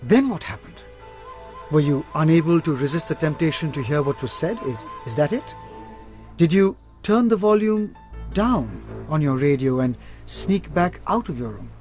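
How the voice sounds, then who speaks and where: Intent, high-voiced male detective played by an actor. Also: lively intonation, normal speed, a little mellow, soft background music, close to an everyday voice. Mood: probing. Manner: probing, intent, articulate. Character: earnest, sharp-minded private detective, protective of companions. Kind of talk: radio drama acting.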